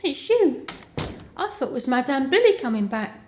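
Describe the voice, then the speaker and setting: An actress playing a coarse, chatty young prostitute who grumbles colloquially in audio drama dialogue. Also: female voice, high-pitched, big pitch swings, normal speed, darkish tone, a little hiss or hum. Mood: chatty, grumbling. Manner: colloquial, complaining.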